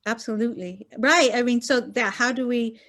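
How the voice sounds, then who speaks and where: A woman's voice: a little high, fairly even intonation, normal speed, fairly bright tone. A woman scholar speaking on an academic roundtable over a video call, earnest and thinking aloud with many fillers and "right?" tags. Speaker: gender studies scholar on roundtable panel.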